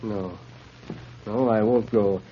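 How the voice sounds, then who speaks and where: An actor playing an anxious, honest man on the run, halting and emotional, in old-time radio drama style. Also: on the low side, steady tone. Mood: pleading, distressed. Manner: halting, agitated, pleading. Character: desperate, honest male fugitive, anxious and emotional. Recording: muffled, noisy vintage radio recording.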